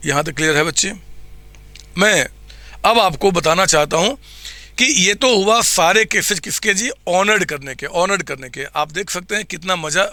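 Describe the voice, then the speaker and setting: A man teaching accounts in a live online class, with a slightly hoarse throat, quizzing his students and answering for them in a coaxing way. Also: high-pitched, pushed and bright, no echo.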